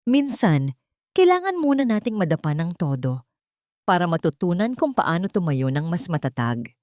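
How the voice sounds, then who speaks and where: A woman voicing a kindly, motherly older woman who is gentle, reflective and reassuring in an audio story. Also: fairly low pitch, big pitch swings, normal speed, dry recording, clean studio sound.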